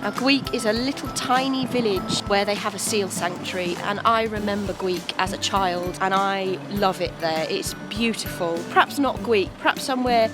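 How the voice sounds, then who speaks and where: A woman's voice: medium pitch, moderate pace, hardly any pauses, over music. An English woman chatting informally in a personal vlog, bunged up with a cold and a sore throat. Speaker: vlogger.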